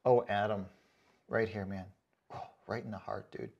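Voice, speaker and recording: A man's voice: lowish voice, fairly even intonation, normal speed, gravelly, a little mellow, lo-fi sound. A man in a casual comedic rant, reading out comments as an online host, sarcastic, mocking and freely swearing, with emphatic delivery. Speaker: online boot review video host.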